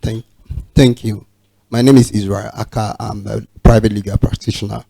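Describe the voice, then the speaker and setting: A man's voice: fairly low pitch, level tone, normal speed, gravelly, warm tone, no echo. A man who is a lawyer asking a panelist a pointed, formal question. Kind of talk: question from the floor.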